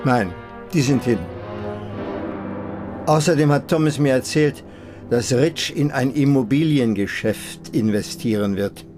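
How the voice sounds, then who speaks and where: An actor playing an elderly husband in a German radio drama, calm, resigned and tender, speaking in short plain sentences. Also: male voice, mid-range voice, unhurried, long pauses, lightly acted. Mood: matter-of-fact. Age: older. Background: music.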